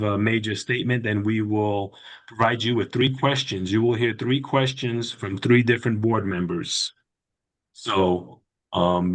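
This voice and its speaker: A man chairing a public board meeting who lays out the procedure politely and carefully, with many fillers. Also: medium pitch.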